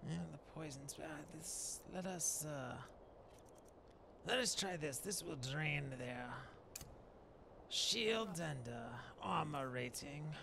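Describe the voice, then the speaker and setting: A man voicing a mild, courtly Dark Elf shaman in improvised video game roleplay, musing aloud in hesitant, old-fashioned phrases. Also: on the high side, expressive, slow, full character voice. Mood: calm, musing. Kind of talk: in-character improvised gameplay narration. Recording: clearly noisy background.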